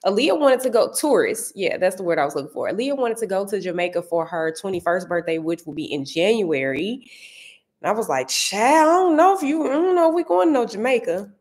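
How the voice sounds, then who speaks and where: A woman in her thirties with a St. Louis accent, chatting casually to viewers from her dining room, animated and often searching for words. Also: medium pitch, fairly quick, slightly rough. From Saint Louis area. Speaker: vlogger answering viewer comments. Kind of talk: casual chat.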